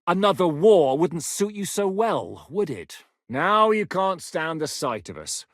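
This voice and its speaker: A battle-hardened knight commander from a game, blunt and commanding, swearing freely. His voice sits fairly high and rises and falls in wide, lively swings. The recording is close and completely dry. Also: a little slow, dry recording. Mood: scornful. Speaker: game character voice lines. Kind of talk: game voice acting.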